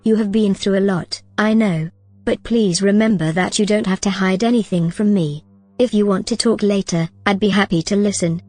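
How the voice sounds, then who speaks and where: A woman playing an AI companion, speaking calmly, precisely and reassuringly. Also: mid-range voice, normal speed, faint music behind. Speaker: AI companion character. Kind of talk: audio drama acting.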